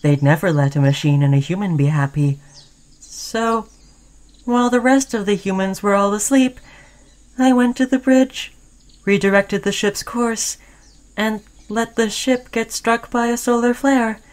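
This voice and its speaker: Lovestruck sentient robot played by a woman, mid-pitched, clingy and earnest, pleading with a veiled threat, for an intimate sci-fi audio roleplay. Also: unhurried, darkish tone, lightly acted. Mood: confessing, devoted. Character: obsessed, lovestruck sentient robot, clingy, caring yet menacing. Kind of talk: roleplay audio drama, intimate second-person monologue.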